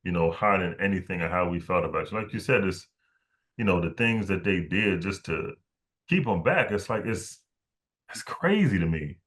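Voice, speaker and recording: Deep-voiced man chatting, halting delivery. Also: expressive, fairly bright tone. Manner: halting, repeats words while thinking aloud. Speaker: podcast host. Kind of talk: casual interview conversation.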